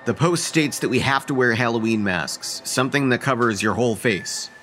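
A man's voice: medium pitch, moderate pace, fairly bright tone, faint music behind, natural voice. A man playing an organized, matter-of-fact young college student in an audio horror drama. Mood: businesslike.

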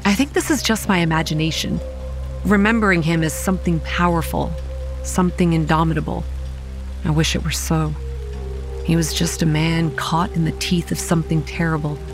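A woman playing a young campground manager, narrating a horror audio drama in a grave, measured way with a dry edge. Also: low-pitched, steady tone, close to an everyday voice. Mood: grave, haunted. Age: young adult. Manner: grave, measured storytelling with dry sarcastic asides. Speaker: narrator and lead actor. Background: quiet music and rain.

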